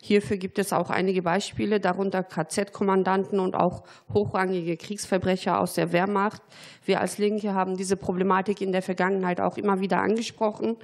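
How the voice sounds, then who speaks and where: A woman's voice: mid-range voice, flat delivery, a little fast, darkish tone. A woman member of parliament with a cold, putting formal, prepared questions to an expert in a committee hearing. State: has a cold.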